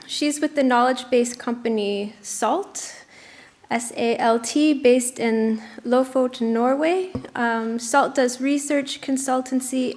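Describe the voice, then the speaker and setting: Higher-voiced woman moderating a panel. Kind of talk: introducing speakers. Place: conference hall.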